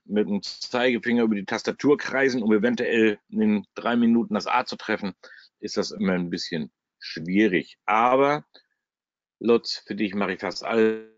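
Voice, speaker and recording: A man with a German regional dialect hosts a live trading webinar at his computer. He talks bluntly and casually, with coarse jokes and a steady, mid-range voice. The sound is dry and a bit muffled, like an online stream. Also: mid-range voice, no echo. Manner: blunt, chatty, salty humor. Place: at a computer, screen shared. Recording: dry, slightly dull webinar audio.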